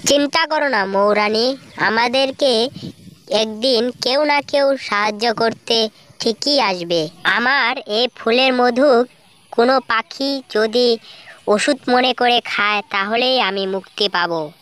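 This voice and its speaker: A gentle cartoon fairy princess, played by a woman, with a clear, fairly high voice. She speaks slowly, with a patient, hopeful, reassuring manner. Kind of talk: children's cartoon story dialogue.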